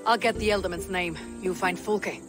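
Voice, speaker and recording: An actress playing a steely, calculating Viking warrior woman in a video game, speaking in a terse, commanding way with a husky low voice. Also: fairly even intonation, moderate pace, music in the background, lightly acted. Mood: measured, determined. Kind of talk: video game cutscene acting.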